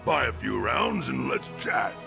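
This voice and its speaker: A man's voice: mid-range voice, very animated, a little slow, creaky, music in the background, heavily acted. A man playing an easygoing, roguish orc scoundrel and drinker in a video game, jovial in manner. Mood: amused, obliging. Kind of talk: video game character dialogue.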